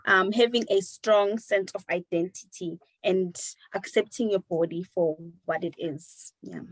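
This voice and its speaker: A 21-year-old sociology graduate, calm and concise as an interview guest. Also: female voice, mid-range voice, measured pace, no room sound, rough recording.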